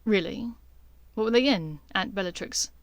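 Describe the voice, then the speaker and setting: A woman's voice: mid-range voice, animated, moderate pace, vocal fry, no echo. A guarded aristocratic teenage boy in a fantasy audiobook, voiced by a woman. He is dryly sceptical and holds himself back. The sound is close and dry.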